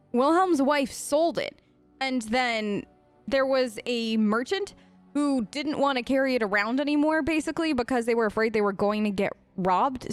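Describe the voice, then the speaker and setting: A chatty woman role-playing aloud as she hosts a game playthrough. Her voice is high and very lively in pitch, expressive and reactive, recorded close and dry. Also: no echo. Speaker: let's play host. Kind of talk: improvised in-character role-play over a game.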